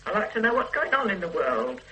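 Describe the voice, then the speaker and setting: Peevish bedridden wife. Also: female voice, mid-range voice, sing-song, a little fast, bright, forceful tone, low-quality recording. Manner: fussy, petulant and demanding. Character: bedridden, complaining middle-aged wife with a bad back. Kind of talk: 1970s radio drama acting. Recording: old radio recording with faint hiss.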